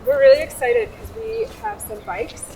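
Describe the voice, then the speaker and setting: A woman's voice: very high-pitched, sing-song, normal speed, a little mellow, slight background noise. A woman talking casually as a founder and mountain bike instructor, explaining things in loose everyday phrases. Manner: casual, frequent "like" and "kinda". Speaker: non-profit founder, biking instructor. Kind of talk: interview talk and hands-on instruction. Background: music.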